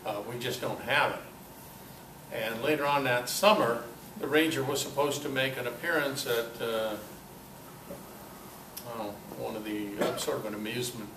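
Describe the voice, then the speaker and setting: An older man giving an informal, halting talk to a club, with a self-deprecating, dryly humorous manner. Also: mid-range voice, fairly bright tone. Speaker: guest speaker at a club meeting. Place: club meeting room, indoors. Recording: roomy, distant mic, noisy amateur recording.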